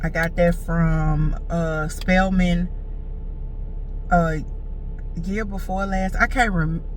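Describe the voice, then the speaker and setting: A woman's voice: fairly low pitch, steady tone, slow pace, far from the mic, slight background noise. A woman, chatting casually and sassily to her viewers as a vlogger. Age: 40s. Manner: chatty, sassy, talks straight to viewers. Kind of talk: casual storytelling chat. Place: in a car.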